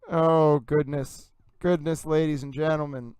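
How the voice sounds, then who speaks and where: A man's voice: on the high side, fairly even intonation, slow pace, a little mellow, clean tone, no room sound. A man hosting a livestream in a gushing, theatrical singsong manner, a little breathless as he chats with viewers. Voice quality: slightly breathless. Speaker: livestream host. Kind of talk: casual livestream chat with viewers.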